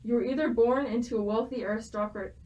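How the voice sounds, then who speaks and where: A woman's voice: a little high, steady tone, fast talker, mellow, muffled recording. A young woman, a student, explaining a topic from prepared notes, with frequent hesitations and restarts. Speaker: student guest expert.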